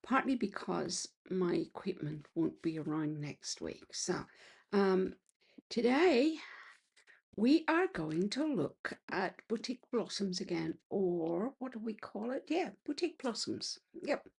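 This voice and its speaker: Casting: unhurried crafter woman, gravelly and husky, croaky today. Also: medium pitch, expressive, a little mellow. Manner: chatty, clears throat often. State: slightly croaky voice. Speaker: card-making crafter. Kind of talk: casual craft tutorial.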